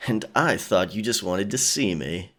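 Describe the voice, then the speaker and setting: A man's voice: fairly low pitch, animated, normal speed, a bit bright. A man playing a cocky, teasing, self-assured sailor in a pirate romance audio drama, with a nonchalant manner. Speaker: voice actor.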